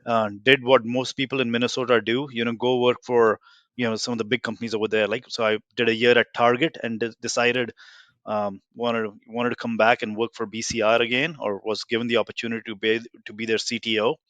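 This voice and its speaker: A man's voice: mid-range voice, flat delivery, fairly quick, fairly bright tone, no echo, lo-fi sound. A man in his forties from India with an Indian accent, a guest telling his story in a rambling, self-deprecating way with lots of fillers. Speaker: guest, tech company founder. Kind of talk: casual interview talk.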